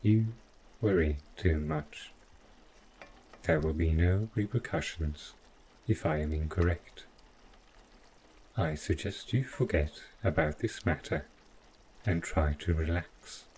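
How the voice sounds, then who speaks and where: A man playing an overprotective, stiffly polite robot butler in an audio roleplay, speaking in formal, deliberate phrases. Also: low-pitched, a little mellow, slight background noise. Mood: evasive, insistent. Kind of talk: scripted audio roleplay addressed to the listener.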